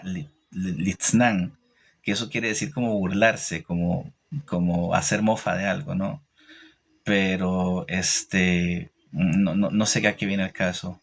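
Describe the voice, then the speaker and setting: Low-voiced Costa Rican man, opinionated. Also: vocal fry. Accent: Costa Rican Spanish. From Costa Rica. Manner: hesitant pauses with repeated phrases, opinionated and didactic. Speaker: religious teacher hosting a livestream. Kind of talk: informal religious talk answering live comments.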